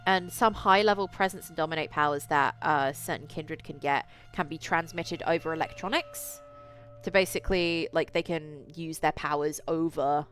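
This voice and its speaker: A woman streamer reading a visual novel aloud, with excitable, slangy asides between stretches of narration. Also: low-pitched, moderate pace. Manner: excitable, slangy, interjecting commentary. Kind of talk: reading game text aloud with casual asides. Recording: music behind the voice. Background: music.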